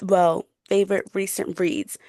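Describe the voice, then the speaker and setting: A woman's voice: mid-range voice, level tone, measured pace, dark tone, no room sound, studio quality. A young woman in a casual book chat as a podcast co-host, gushing, slangy and playful.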